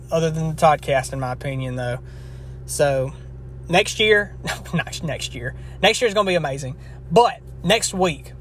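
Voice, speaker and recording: A man's voice: on the high side, a bit bright. Young man hosting a podcast, throat scratchy. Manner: rambling, earnest, with frequent restarts. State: scratchy throat from long talking. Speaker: podcast host. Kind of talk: casual solo podcast wrap-up.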